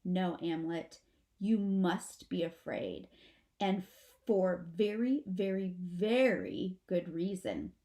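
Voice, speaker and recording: A woman voicing a stern mother possum from a children's storybook, commanding and deliberate like a stage director. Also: fairly low pitch, animated, warm tone. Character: stern, theatrical mother possum instructing her babies. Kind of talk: children's storybook character reading.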